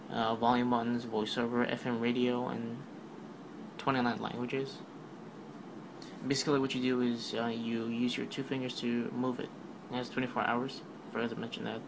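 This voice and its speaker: Casual male narrator, monotone, rambling, noisy home voice-over. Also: medium pitch, moderate pace. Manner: offhand, rambling, filler-heavy. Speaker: tech video narrator. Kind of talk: casual voice-over recap of a keynote.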